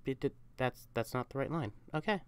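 Low-voiced woman between takes. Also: expressive, brisk, clean tone, dry recording. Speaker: audio roleplay performer. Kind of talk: off-script blooper chatter between takes.

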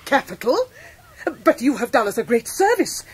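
A brisk, generous older English aunt in a radio drama. Her high, husky voice moves with great animation, commanding and forthright. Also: very animated, full character voice. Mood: keen, encouraging.

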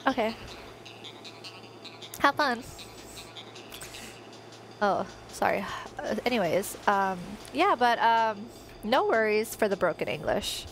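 A woman's voice: medium pitch, expressive, takes it slowly, pauses often, a little hiss or hum, rough recording. A young woman in her twenties, a native Tagalog speaker, streaming from her bedroom on a headset and chatting in a rambling way with lots of fillers. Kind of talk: casual chat while playing a life-sim game. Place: bedroom at home, indoors. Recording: game music playing behind.

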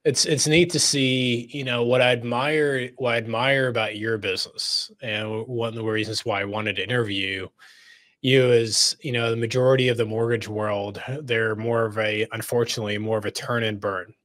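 A man's voice: medium pitch, fairly even intonation, moderate pace, fairly bright tone. A middle-aged man from Egypt hosting an interview show from his home studio, enthusiastic and fond of repeating words for emphasis. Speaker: host and coach. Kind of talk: casual interview over video call. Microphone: studio microphone on arm.

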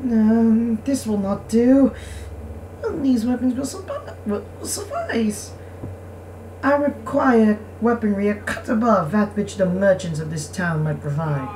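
A woman's voice: a little high, animated, measured pace, a little mellow, echoey room. A woman voicing a formal, haughty young noble swordswoman from a visual novel game. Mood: disdainful. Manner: formal, prim, earnest. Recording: distant mic, faint background noise.

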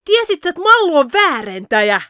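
Woman voicing a very high, excitable ninja boy. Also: exaggerated voice. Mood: indignant, eager. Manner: excitable, exclaiming, stammering when startled. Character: loud, gullible, hot-headed young ninja boy. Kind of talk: fan parody anime dub.

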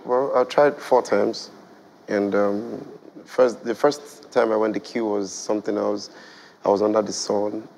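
A Nigerian man in his thirties talks in a relaxed, hesitant way as a guest on a studio talk show. His low voice has a gravelly edge, with faint noise behind it on the lapel mic. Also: measured pace. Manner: relaxed, hesitant, laughs easily.

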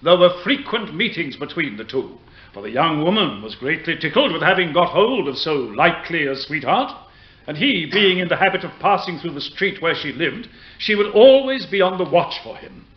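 High-pitched, earnest prosecutor. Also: male voice, big pitch swings. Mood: grave, narrating. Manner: formal, measured storytelling. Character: earnest, formal prosecuting attorney in old English court. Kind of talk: period radio play acting. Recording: muffled radio drama recording.

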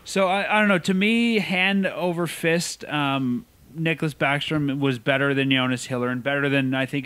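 A man co-hosting a podcast, giving opinionated, animated takes in casual sports chat. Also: medium pitch, no echo. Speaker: podcast co-host.

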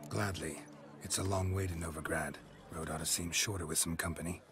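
A man acting a gruff, laconic monster hunter in a video game, terse and deadpan with dry wit. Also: low-pitched, fairly quick, background noise, natural voice. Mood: matter-of-fact.

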